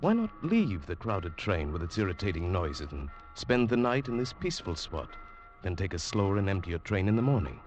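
A man playing a formal, sceptical gentleman traveller who narrates an eerie 1940s radio drama. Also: low-pitched, normal speed. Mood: haunted recollection. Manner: formal, expressive, polite but incredulous. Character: reserved, sceptical English gentleman traveller recounting an eerie tale. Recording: vintage radio drama, music behind.

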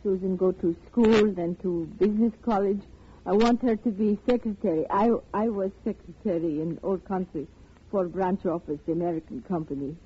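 An actress, speaking halting, sorrowful broken English. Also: female voice, medium pitch, a little hiss or hum, close to an everyday voice. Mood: sad, wistful. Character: grieving, weary immigrant mother, listless and resigned. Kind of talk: 1950s radio drama acting. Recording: muffled, tinny old radio recording.

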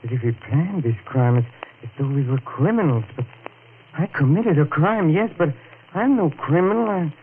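A man's voice: a little high, lively intonation, moderate pace. An actor playing a desperate man who has killed a rival, stammering and breaking into hysterical laughter, in a 1940s radio drama. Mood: anguished. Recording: tinny lo-fi 1940s radio broadcast with hiss.

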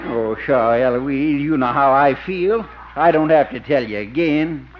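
A man's voice: medium pitch, measured pace, close to an everyday voice. An actor playing a dim-witted, agreeable small-town policeman, folksy and earnest with a goofy chuckle, in 1950s radio comedy. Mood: bashful, lovestruck. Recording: very noisy, dull old radio recording.

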